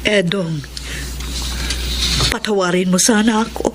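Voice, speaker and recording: A woman playing a gentle, earnest wife and mother in a radio drama, with a Filipino accent. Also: medium pitch, slow pace, music in the background, lightly acted. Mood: earnest, remorseful.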